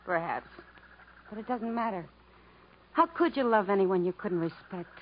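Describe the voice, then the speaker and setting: A woman's voice: mid-range voice, expressive, moderate pace, lightly acted. A muffled, slightly noisy vintage broadcast carries an actress as a wounded woman with a battered past. Her mid-range voice is plaintive and searching, phrased with the earnest drama of 1950s radio theatre. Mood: wounded, resigned. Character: wistful, wounded woman with a battered past, yearning for self-respect.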